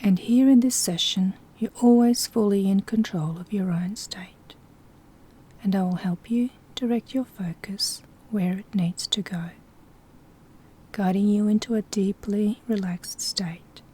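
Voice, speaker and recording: Soft spoken lady doing sleep hypnosis, whispery n breathy, dark mellow tone, gentle instructions. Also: mid-range voice, unhurried. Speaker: hypnosis guide.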